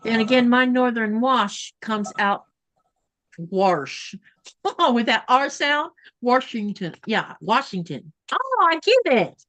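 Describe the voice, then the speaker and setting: A woman's voice: on the high side, big pitch swings, slow pace, a bit bright. A woman from Florida co-hosting a casual sewing livestream over a video call, chatting and reading out viewer comments. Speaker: livestream co-host. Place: home in Greenland.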